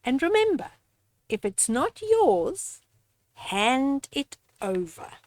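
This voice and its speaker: An older woman reads a children's story aloud with a high, swooping voice. She takes it very slowly, pausing to speak straight to young listeners. Her manner is gently instructive, as in a picture-book lesson. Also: sing-song, dry recording. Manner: gentle didactic, addressing young children directly. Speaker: storyteller reading children's books. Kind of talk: read-aloud picture book with moral commentary.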